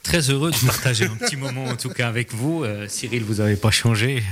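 A man with a Vaud accent, a non-native French speaker who grew up with English and Swiss German, speaking as a guest in a friendly, relaxed radio interview. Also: medium pitch, brisk. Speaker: guest, hockey league official.

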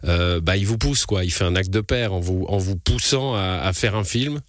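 A man's voice: low-pitched, normal speed, no room sound. A man hosting a radio interview in a studio, talking with many restarts and fillers. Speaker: radio news host. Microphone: studio mic.

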